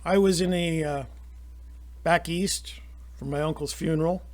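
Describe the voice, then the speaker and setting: A man's voice: fairly high pitch, steady tone, unhurried, darkish tone. A middle-aged man with a Canadian accent, talking through his opinions in a rambling, indignant monologue full of restarts. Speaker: channel host.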